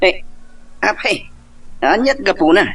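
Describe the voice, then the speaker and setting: A man's voice: high-pitched, lively intonation, unhurried, sharp tone, heavily acted. A man playing an earnest friend and go-between who urges and questions in an amateur radio-style love drama. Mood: surprised. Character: earnest male friend, a go-between giving advice and help.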